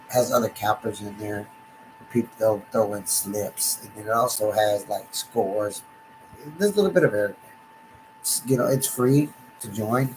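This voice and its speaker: A man with a raspy voice from feeling sick, rambling casually about betting picks and promo codes with lots of fillers. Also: lowish voice, noisy background. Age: adult. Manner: rambling, many fillers and restarts. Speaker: sports betting tipster.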